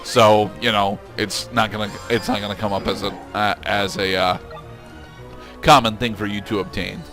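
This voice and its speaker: A man giving explanatory let's play commentary in a halting way, often restarting his phrases. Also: fairly low pitch, fairly even intonation, fairly bright tone, soft background music. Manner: halting, hesitant, with repeated restarts.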